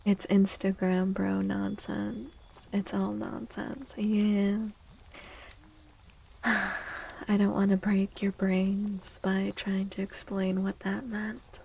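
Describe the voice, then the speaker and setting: Woman, soft ASMR livestream chat, mellow dark tone, creaky edges, gentle, whispery, pausing between short phrases. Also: medium pitch, level tone, low-quality recording. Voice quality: soft, breathy, often dropping into whisper. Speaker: livestream host.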